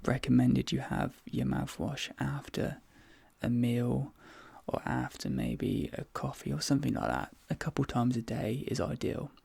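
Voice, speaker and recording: A man speaking softly and breathily in an ASMR dentist role play, hesitant and often slipping into whispers. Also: mid-range voice, no echo. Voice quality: breathy, soft. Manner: hesitant, halting, drifting into whispers.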